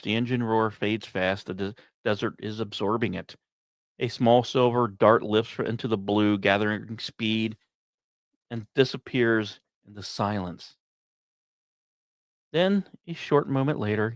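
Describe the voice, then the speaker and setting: A male podcast host narrates space and science material in a medium-pitched voice. He phrases it like a dramatic story, setting off short fragments with pauses and now and then stepping aside to address listeners. The sound is dry and close, with little room around the voice. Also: dry recording.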